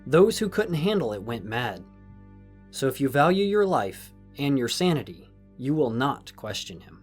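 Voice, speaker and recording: Audio drama villain played by a man: secretive, stern enforcer, rough-edged husky voice, icy authority, music bed. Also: mid-range voice, big pitch swings, moderate pace. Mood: cold, menacing. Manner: cold, commanding, condescending. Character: stern, secretive male enforcer of a mysterious patron. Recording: music under the voice.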